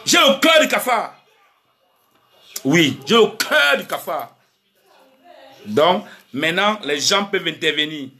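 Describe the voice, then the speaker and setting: A man's voice: high-pitched, sing-song, takes it slowly, pauses often, pushed and bright. Angry Cameroonian man ranting on a live stream. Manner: angry and emphatic, then fumbling and muttering. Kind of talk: heated live-stream rant to viewers.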